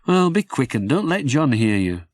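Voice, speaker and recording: A man voices a bossy, sensible girl rag doll in a storybook. The delivery is brisk and scolding, motherly but easily cross, with lively pitch and a dry, close sound. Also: no room sound.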